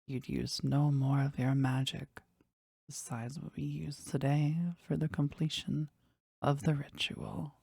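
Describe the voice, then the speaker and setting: Woman voicing a husky, slow-talking possessive demon. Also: little pitch movement, dark tone, no echo, studio quality, heavily acted. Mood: insistent. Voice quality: husky, breathy, low creaky voice. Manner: slow, deliberate, intense, dramatic. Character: powerful, possessive, jealous demon devoted to their master. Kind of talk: intimate audio roleplay monologue.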